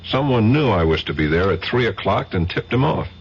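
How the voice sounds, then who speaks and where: An actor playing a wry American government investigator in a 1970s radio drama, with a dry, sardonic delivery. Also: male voice, lowish voice, very animated, a little fast, a little hiss or hum. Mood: casual. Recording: dull old radio broadcast.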